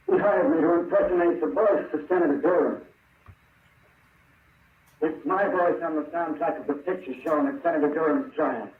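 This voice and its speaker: Man acting dying impersonator, halting, gasping, 1940s radio drama, roomy tinny recording. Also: fairly high pitch, far from the mic, lightly acted. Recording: tinny, echoey old-time radio recording.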